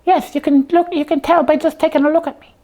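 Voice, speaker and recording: A woman's voice: sits high, steady tone, fast talker, mellow. A woman voicing a proud, fussy puppet detective in a comic puppet show, bossy and self-important.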